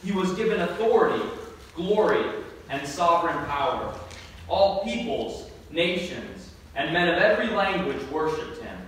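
A man's voice: a little high, very animated, fairly bright tone. Echoey, distant man reading scripture. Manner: measured phrase-by-phrase delivery. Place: church sanctuary at a lectern. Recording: echoey church from far off, faint noise.

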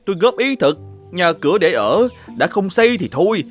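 Grumpy, animated cartoon shoemaker. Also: male voice, high-pitched, normal speed, faint music behind. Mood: scornful, bossy. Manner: scolding, exclamatory, complaining. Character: grumpy, fault-finding middle-aged village shoemaker. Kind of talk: animated fairy-tale acting.

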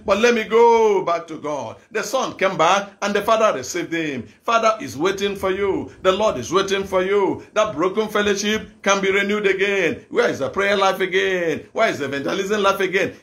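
High-pitched man preaching a fervent sermon. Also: fairly bright tone. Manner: exhorting, insistent, repetitive phrasing. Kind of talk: fervent evangelical sermon with prayer.

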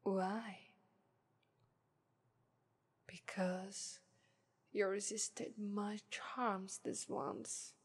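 Woman doing a smug demon lady of lust, italian accent, super slow and breathy, whispery ara ara teasing. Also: mid-range voice, little pitch movement, heavily acted. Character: confident seductive female lust demon, teasing and haughty. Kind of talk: ASMR roleplay.

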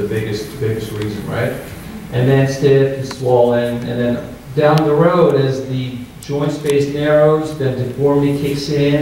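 A man's voice: mid-range voice, a little mellow, slight background noise. Echoey, distant man presenting to a room. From Albania. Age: 30s. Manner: conversational, often checks in with "right?". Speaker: orthopedic surgeon giving a talk. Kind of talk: informal medical presentation to a live audience. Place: hotel-style meeting room with seated audience. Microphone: handheld mic, picked up from far off by an audience camera.

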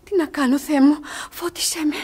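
A woman's voice: high-pitched, steady tone, a little mellow, close to an everyday voice. An actress playing a cunning, passionate young woman in a radio theatre comedy, dramatic and emphatic. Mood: desperate. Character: young female ward, cunning, passionate, desperate lover.

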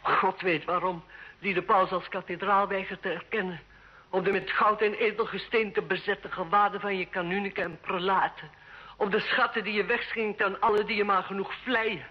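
A woman's voice: medium pitch, fairly even intonation, normal speed, close to an everyday voice. An actress playing a proud, bitter, lonely noblewoman wife in a theatrical, reproachful manner in an old Dutch radio drama. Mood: scornful, accusing. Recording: dull old radio play recording.

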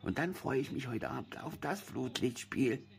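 A woman's voice: very deep voice, expressive, moderate pace, creaky, exaggerated voice. A woman voicing a sweet, sniffly cheese worm puppet, coughing in a homemade puppet play. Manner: singsong, swooping, cosy. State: has a cold, coughing.

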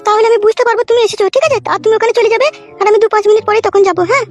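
A woman voicing a jealous, scheming village housewife in a cartoon, shrill and bossy. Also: steady tone, very fast, soft background music. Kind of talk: cartoon voice acting.

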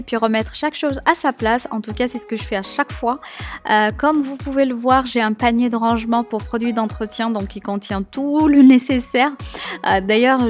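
A young woman narrating a cleaning video voice-over, sharing tips with enthusiasm and frequent hesitations. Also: a little high. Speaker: home cleaning vlogger.

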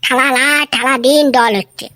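A woman's voice: high-pitched, steady tone, moderate pace, pushed and bright. A woman voicing a whiny, chatty village schoolboy in a comic cartoon, with a rural western Bengali accent and childlike lisped, rambling, repetitive speech. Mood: complaining.

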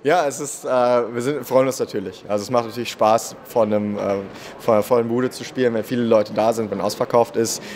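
A man's voice: medium pitch, fairly even intonation, a little fast, few pauses, darkish tone. A man in his thirties, a marketing manager and musician, answering interview questions in a chatty way with many fillers. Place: indoor room at a festival. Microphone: handheld mic held by interviewer.

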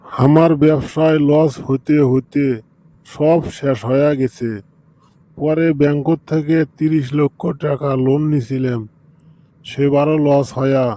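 A humbled, penniless rickshaw puller from a cartoon, acted by a man, begs in a soft, dark voice of middling pitch. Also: close to an everyday voice, poor audio. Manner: pleading, abject. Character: ruined older brother, humbled begging rickshaw puller. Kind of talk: amateur cartoon dialogue.

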